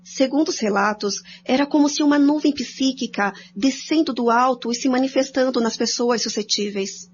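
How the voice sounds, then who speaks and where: A woman's voice: sits high, moderate pace, hardly any pauses. A woman with a Brazilian accent giving scripted narration and commentary in a radio drama. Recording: dull lo-fi audio with hum and noise.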